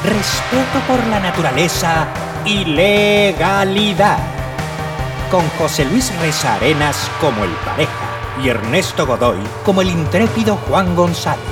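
A man's voice: sits high, lively intonation, measured pace. A man announcing the title and credits of a radio adventure serial in a dramatic style. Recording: loud music, slightly distant voice.

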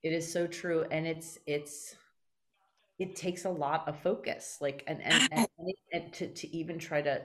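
A woman in casual podcast conversation, talking haltingly and repeating words as she searches for her thoughts. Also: low voice, fairly even intonation. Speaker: podcast guest or co-host.

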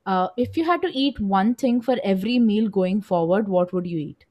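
A woman's voice: medium pitch, normal speed, muffled recording. A young woman around twenty hosts a casual podcast interview, posing questions and readily voicing agreement with her guest. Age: young adult, around twenty. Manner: affirming, often echoes agreement. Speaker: podcast host.

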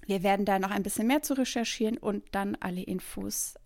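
A woman's voice: mid-range voice, a little fast, darkish tone, no echo, clean studio sound. A woman co-hosting a podcast who is not a native German speaker, chatting casually, laughing often and stumbling over German words. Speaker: podcast co-host.

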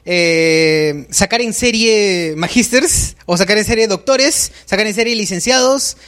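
A man's voice: high voice, unhurried, a bit bright. A man with a Peruvian accent hosting a casual podcast, joking and reading viewer comments aloud. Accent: Peruvian Spanish. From Peru. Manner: jokey, laughs often, reads viewer comments aloud. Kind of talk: casual chat.

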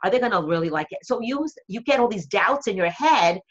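A woman's voice: mid-range voice, expressive, fairly quick, breathy edge, lo-fi sound. A woman guest and coach from Connecticut, speaking earnestly and motivationally in a casual interview.

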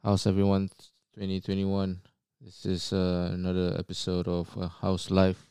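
A man from Singapore hosting a casual solo podcast, who describes his own voice as low and monotonous and talks with many fillers. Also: moderate pace, smooth, no echo, clean studio sound. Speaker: podcast host.

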